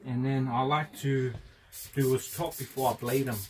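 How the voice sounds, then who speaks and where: A man's voice: mid-range voice, level tone, moderate pace, a little mellow, a little hiss or hum. A young man giving a casual step-by-step barber tutorial while cutting hair in a parked van, rambling and joking, with the odd swear.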